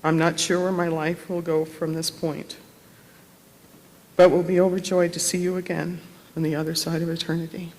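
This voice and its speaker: A man's voice: on the high side, little pitch movement, moderate pace, a little hiss or hum. A man reading a written tribute aloud at a memorial service in a reverberant hall. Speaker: tribute reader.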